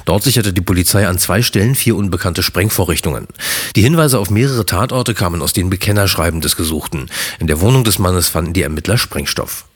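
A man with a low voice reads a news report in a plain, factual tone. His pitch stays down in a deep register throughout. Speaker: news editor.

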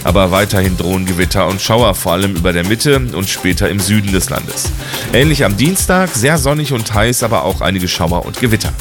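A man reading radio news and the weather forecast in a formal, clear, even manner. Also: low-pitched, brisk, music in the background. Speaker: newsreader.

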